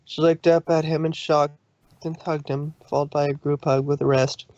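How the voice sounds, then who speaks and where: A man's voice: fairly high pitch, little pitch movement, normal speed, darkish tone, dry recording. Male story narrator, dry dull recording. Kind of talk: scripted fan fiction narration.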